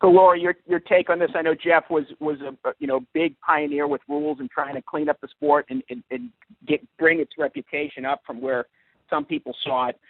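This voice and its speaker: Male reporter, gravelly and slightly high, on a muffled, dry conference line. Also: steady tone, brisk, no room sound. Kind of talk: conversational questioning on a media call. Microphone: telephone.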